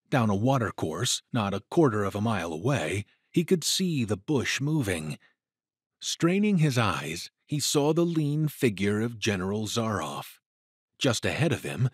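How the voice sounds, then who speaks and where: A man narrating an adventure story in a dramatic, deliberate, suspenseful way. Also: mid-range voice, husky, dry recording, clean studio sound.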